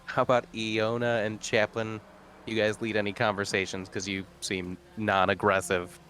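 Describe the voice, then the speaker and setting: A man's voice: fairly low pitch, flat delivery, normal speed, a bit bright, a little hiss or hum. A man playing a tabletop roleplaying game, talking casually in character with a dry, wry manner.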